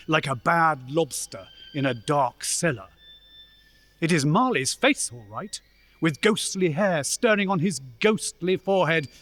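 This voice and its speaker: An actor narrating a radio play as a measured, dramatic storyteller of a gloomy ghost tale. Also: male voice, a little high, a bit bright.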